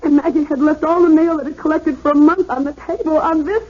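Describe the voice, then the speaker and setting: Woman playing frantic young wife, 1970s radio drama, high voice, emphatic repetition, dull vintage recording. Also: steady tone, fast talker, darkish tone, close to an everyday voice. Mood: bewildered. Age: young adult. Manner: pleading, emphatic, repeating herself. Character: distraught young wife insisting her husband was murdered.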